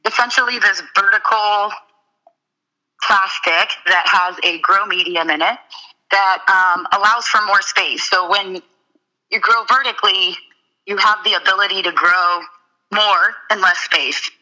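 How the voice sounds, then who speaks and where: Bright-voiced woman explaining her farm. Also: mid-range voice, a little slow, poor audio. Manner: explains steadily with frequent ums. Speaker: urban farm owner, guest. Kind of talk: casual interview conversation.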